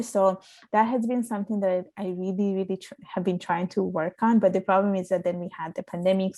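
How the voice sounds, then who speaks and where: A woman with a soft, quiet voice, answering interview questions in a thoughtful, explaining way with some fillers. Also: lowish voice, vocal fry. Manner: soft, not loud voice. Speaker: podcast guest. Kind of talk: casual interview chat.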